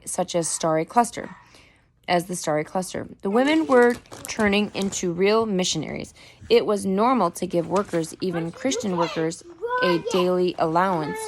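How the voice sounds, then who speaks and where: A woman reading a book aloud in a deliberate storytelling manner, with an occasional stumble over the words. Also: on the low side. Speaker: reader. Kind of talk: book read-aloud narration.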